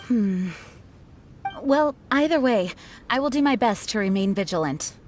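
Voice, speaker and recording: Composed, earnest knight commander. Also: female voice, on the high side, lively intonation, a little mellow, slight background noise. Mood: vigilant. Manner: measured, formal, earnest. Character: composed, dutiful young woman knight commander, vigilant. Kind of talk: video game character acting. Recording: music behind the voice.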